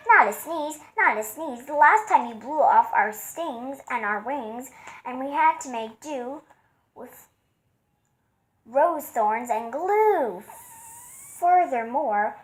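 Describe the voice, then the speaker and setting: Fretful bee swarm, played by a woman, high and complaining. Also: lively intonation, measured pace, fairly bright tone. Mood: worried. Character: buzzing worried bees.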